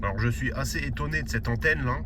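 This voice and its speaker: A man talks viewers through a hands-on demo in an informal, explanatory way. His voice sits at an ordinary pitch. He is picked up from a distance, with very loud radio hiss and noise around him. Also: quick pace. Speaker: presenter of a CB radio review video. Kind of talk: casual hands-on product demonstration.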